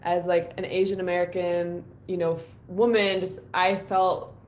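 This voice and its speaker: A woman's voice: lowish voice, little pitch movement, normal speed, a little hiss or hum. A woman from New York City, a guest musician, talking in a reflective, personal way with plenty of ums and likes. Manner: reflective, openly personal, many fillers. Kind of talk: casual live-stream interview.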